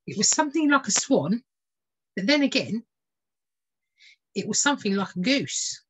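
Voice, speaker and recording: A woman's voice: a little high, sing-song, moderate pace, slightly rough, voice pushed up, rough recording. A woman voicing a flustered, deferential royal lady-in-waiting in a storybook reading, anxious and proper.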